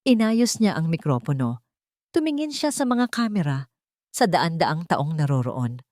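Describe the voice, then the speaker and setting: A Filipino woman tells a scripted story in a low-pitched voice. Her delivery is measured and dramatic, and the recording is dry and close. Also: big pitch swings, darkish tone, dry recording.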